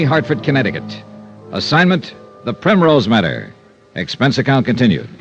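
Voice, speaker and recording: An actor playing a confident, wry American insurance investigator in a 1950s radio detective drama, smooth and persistent. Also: male voice, mid-range voice, lightly acted. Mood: crisp, reporting. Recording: dull old radio recording.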